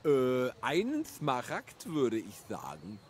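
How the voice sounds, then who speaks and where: A man's voice: medium pitch, wildly swooping pitch, takes it slowly, pitched below the performer's own voice. A man voicing a simple, eager villager in a story, with an earnest, naive and hesitant manner. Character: simple, eager male villager selling his goods.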